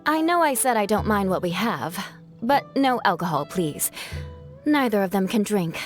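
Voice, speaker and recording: A woman's voice: medium pitch, big pitch swings, normal speed, soft background music, natural voice. An actress voicing a proud, blunt woman knight captain who talks in a haughty, clipped way. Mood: aloof.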